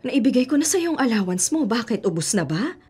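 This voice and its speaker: A woman's voice: a little high, sing-song, fairly quick, darkish tone, breathy edge, faint music behind, exaggerated voice. A woman acting a hardworking, self-sacrificing middle-aged mother in a radio drama, earnest and principled. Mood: weary, patient. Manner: earnest, principled, pleading when pressed.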